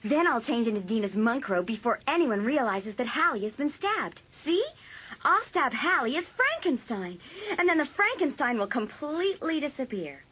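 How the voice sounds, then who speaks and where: A woman playing a vengeful teenage girl in a dramatised audiobook, husky and intense as she schemes in cold blood. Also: sits high, very animated, moderate pace, a bit bright, full character voice. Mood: eager, scheming. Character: vengeful teenage girl coldly plotting a murder.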